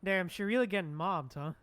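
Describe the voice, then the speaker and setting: A woman's voice: lowish voice, animated, normal speed. A woman streaming a game, reacting casually in short, offhand remarks. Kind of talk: casual chat, livestream reactions.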